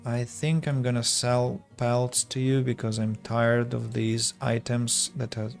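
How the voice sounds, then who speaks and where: Slow-talking Russian-accented man streaming a game. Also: medium pitch, level tone, creaky, faint music behind. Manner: slow, careful wording with frequent restarts. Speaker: streamer and English teacher. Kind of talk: casual gameplay streaming chat.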